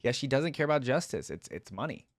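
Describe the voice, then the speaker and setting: A man's voice: medium pitch, expressive, quick pace, over music. A man giving casual, chatty commentary as he reacts to game voice lines, close to the microphone. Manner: informal, chatty.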